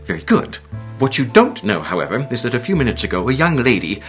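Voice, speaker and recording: An actor playing an officious hotel manager in a radio drama, formal and supercilious with a dry manner. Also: male voice, mid-range voice, huge pitch swings, fast, a bit bright. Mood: arch, condescending. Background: faint music.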